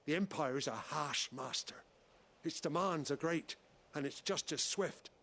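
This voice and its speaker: Husky village headman, stern n wary, formal weighty fantasy game delivery. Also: on the high side, unhurried, a bit bright, exaggerated voice. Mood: grave, resigned. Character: stern, wary male village leader, proudly resigned to submission. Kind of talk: scripted video game acting.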